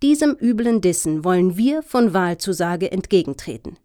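An actress playing an earnest, officious lobbyist in a satirical radio play, talking in formal, deliberate, speech-like sentences. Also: female voice, lowish voice, expressive, dark tone, close to an everyday voice. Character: earnest, officious female lobbyist pitching for funding.

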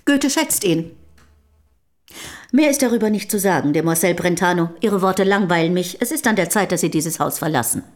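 A woman's voice: lowish voice, lively intonation, natural voice. Woman acting a proud, coolly guarded wife. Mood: icy. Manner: measured, formal, curt and dignified. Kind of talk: German radio play acting.

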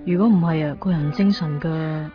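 香港口音女聲演員，隨和又純真. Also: female voice, deep voice, brisk, close to an everyday voice. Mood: unfazed, resigned. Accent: Hong Kong. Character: easygoing, innocent homeless young woman, matter-of-fact. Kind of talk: radio drama acting. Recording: dull 1990s radio drama recording. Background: faint background music.

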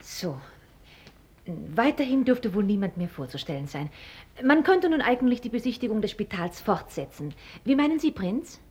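A woman acting a cool, witty, self-assured young heiress in a German radio play, composed and formally ironic, with a husky voice. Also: fairly high pitch, very animated, moderate pace, a little mellow. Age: young adult.

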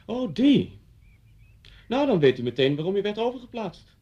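A man's voice: sits high, big pitch swings, normal speed, dark tone, breathy edge, dull audio, exaggerated voice. An actor in a radio play playing a pedantic, menacing policeman, officious and insinuating in his precise way of talking. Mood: officious, fussy. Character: pedantic, menacing policeman, the teacher's former pupil.

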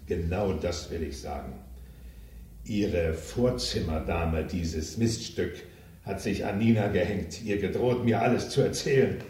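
A man's voice: sits low, a little slow, mellow, recorded from a distance, a little hiss or hum. An actor in a German crime radio drama playing a cynical, ambitious self-made businessman, blunt and coarse, with theatrical emphasis. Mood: bitter, sly. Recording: echoey room, off the microphone.

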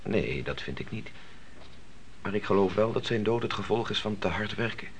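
An earnest grown son in a radio play, with a low-pitched voice. He questions and argues cautiously, often halting before he gets to the point. The old recording carries faint noise. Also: expressive. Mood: reasoning, insistent. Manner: hesitant, halting, argumentative.

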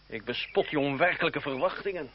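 A man's voice: a little high, fairly quick, slight background noise, natural voice. Actor as bitter young man, muffled old radio play. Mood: vowing revenge. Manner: theatrical, emphatic delivery. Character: bitter, defiant young man grieving his murdered friend.

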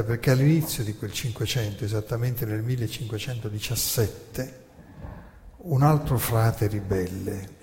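A man speaks as the narrator of a stage monologue on history, with a medium-pitched voice carried by long hall reverb. His delivery is rhetorical and deliberate, leaning on emphatic phrases and dramatic pauses. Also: mellow, slight background noise. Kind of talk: theatrical historical lecture monologue.

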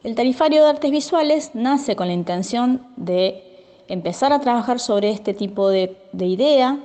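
A woman's voice: a little high, lively intonation, moderate pace, warm tone. A woman advocating for visual artists, reading a statement and then speaking firmly.

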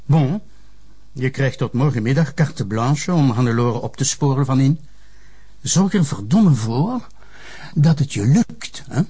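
An actor with a Flemish accent playing a stern, suspicious public prosecutor in a TV police drama, curt and probing. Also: male voice, mid-range voice, expressive, a little slow, warm tone, lightly acted. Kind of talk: naturalistic TV police drama acting.

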